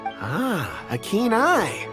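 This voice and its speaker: A man's voice: sits high, wildly swooping pitch, drawn-out words, music in the background, heavily acted. A man playing an affable, absent-minded rock enthusiast in a video game, talking politely in flowery phrases. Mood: admiring. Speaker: game voice actor. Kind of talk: scripted game dialogue.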